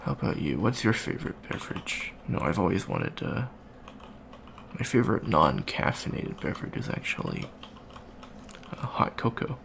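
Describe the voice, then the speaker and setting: Man as awkward college alien, low and creaky. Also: very animated, moderate pace, exaggerated voice. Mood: awkward, chatty. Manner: hesitant, stammering, rambling. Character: shy, awkward young avian alien college student, friendly. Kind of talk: ASMR roleplay. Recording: faint background noise.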